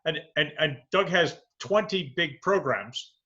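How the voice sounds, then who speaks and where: Male interview host, crisp bright tone, muffled lo-fi video-call audio, curious and stumbling. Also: on the high side, expressive, a little slow. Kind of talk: conversational interview questions.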